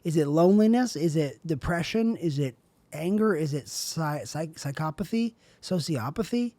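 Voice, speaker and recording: A young man with a raspy, airy voice, rambling through a solo podcast monologue as host. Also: on the high side, animated, moderate pace, dark tone, dry recording. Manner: rambling, self-questioning, trailing off.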